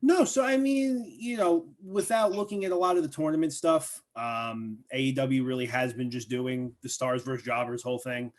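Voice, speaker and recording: Guy cohosting a wrestling pod, mid voice, lots of "i mean" and "um". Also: medium pitch. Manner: measured, hedging with "I mean" and "um". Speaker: podcast co-host. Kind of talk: casual wrestling chat.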